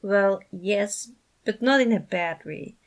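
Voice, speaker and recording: A woman's voice: mid-range voice, slow pace, close to an everyday voice. A woman voicing a timid, cautious young man in a fan fiction reading, speaking hesitantly. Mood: nervous, reflective. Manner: hesitant, muttering.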